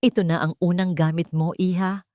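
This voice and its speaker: A woman voicing a bitter, wise old woman who gives hushed counsel. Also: fairly low pitch, little pitch movement, moderate pace, no room sound, clean studio sound, lightly acted.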